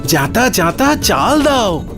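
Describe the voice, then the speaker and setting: A man's voice: high-pitched, sing-song, slow, over music, exaggerated voice. A man voicing a poor, humble younger brother in a cartoon fairy tale, speaking earnestly and respectfully. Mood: commanding. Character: poor, humble, helpful younger brother, desperate but polite.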